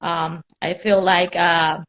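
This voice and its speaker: A woman's voice: lowish voice, little pitch movement, slow, a bit bright. A young woman from Puerto Rico with a Puerto Rican accent, Spanish her first language, chatting on a video call and rambling with many fillers. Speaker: caller on a live stream. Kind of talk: casual chat.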